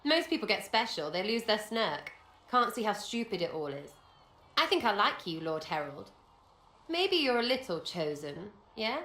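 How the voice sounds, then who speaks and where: A woman's voice: mid-range voice, very animated, moderate pace, pushed and bright, reverberant, a little hiss or hum. An actress playing a blunt, playful young female elf rogue in a game cutscene, with a mocking, irreverent way of talking. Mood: mocking, cheeky.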